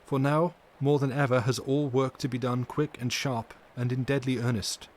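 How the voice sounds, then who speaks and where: A man's voice: mid-range voice, moderate pace, natural voice. A man voicing a grave, urgent older male professor with earnest, stilted phrasing in an audiobook reading. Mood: grave urgency. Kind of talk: dramatic audiobook character reading. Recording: steady background noise.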